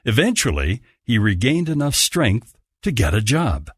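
A man's voice: mid-range voice, big pitch swings, unhurried, husky, no echo, studio quality. A man narrating a scripted holiday story as a storyteller. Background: music.

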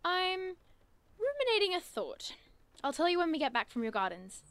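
Young amateur sleuth: high-pitched, inquisitive, earnest, oddball, audio drama lead. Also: female voice, huge pitch swings, moderate pace, fairly bright tone, no room sound, full character voice. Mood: curious. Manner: earnest, matter-of-fact, quirky. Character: curious, earnest, quirky young woman investigator. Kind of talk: naturalistic audio drama dialogue.

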